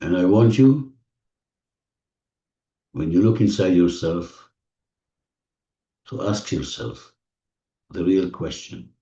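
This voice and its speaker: A man leading a guided visualization in non-native English phrasing, giving gentle, repetitive instructions with deliberate pauses, recorded muffled and distant in an echoey room. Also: low-pitched, expressive. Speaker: guided meditation leader. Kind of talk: guided visualization, spoken slowly to a listener.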